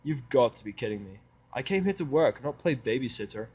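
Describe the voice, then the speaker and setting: A man plays a sullen human worker in a fantasy audio drama. His voice is mid-pitched, with a curt, irritable, sarcastic delivery. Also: expressive, brisk, darkish tone, slightly rough, highs cut off. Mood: curt, grumpy. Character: surly, sarcastic human man working among ponies. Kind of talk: fan fiction audio drama acting.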